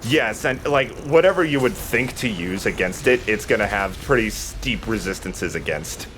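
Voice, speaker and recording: A man with a fairly low voice talks through gameplay in a casual, explanatory way, like a let's play host. There is faint noise and game ambience behind him. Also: expressive, moderate pace.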